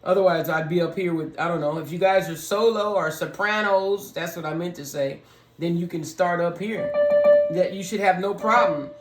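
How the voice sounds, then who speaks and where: A person with a low, deep, raspy voice gives a casual, step-by-step piano lesson for beginners and talks through each step. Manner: slow, talks through each step, sings scale notes on "ho". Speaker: piano learner teaching beginners. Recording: roomy echo with piano playing.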